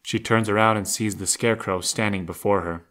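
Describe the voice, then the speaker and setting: A man's voice: lowish voice, steady tone, a little fast, fairly bright tone. A man narrating a scripted audio drama in the present tense, scene by scene.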